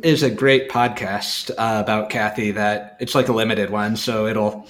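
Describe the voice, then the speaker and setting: A man's voice: on the low side, steady tone, unhurried, fairly bright tone. A man co-host speaking casually in a group podcast conversation.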